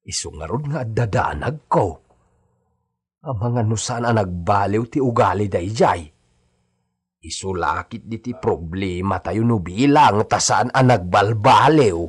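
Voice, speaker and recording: A man's voice: fairly low pitch, lively intonation, measured pace, slightly rough. A man playing an earnest, plain-spoken Filipino farm hand in a radio drama, sounding concerned. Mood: worried. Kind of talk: Ilocano radio drama acting.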